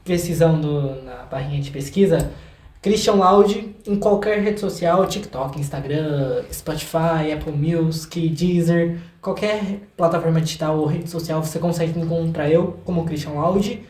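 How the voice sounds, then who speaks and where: A man's voice: on the high side, a little slow, darkish tone. Echoey room, young man, Brazilian accent, casual chat, informal, self-deprecating interview guest. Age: young adult. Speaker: interview guest, singer-songwriter.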